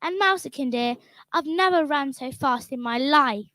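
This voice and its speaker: A young girl speaks in a high, eager voice, excited and earnest. She tells her story in a rush. The sound is dry and close, as in a radio play. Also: moderate pace, no room sound. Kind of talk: children's radio drama.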